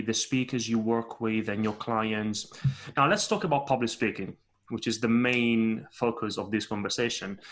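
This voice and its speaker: A man hosting a podcast interview, enthusiastic, often restarting words and laughing along. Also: fairly low pitch. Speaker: podcast host. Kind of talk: casual interview.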